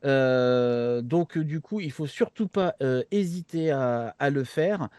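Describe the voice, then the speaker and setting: A man's voice: medium pitch, animated, takes it slowly, darkish tone, clean tone, no echo, clean studio sound. A man talking casually to viewers and fellow panelists as a regular of a show. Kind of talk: casual talk to viewers and co-hosts.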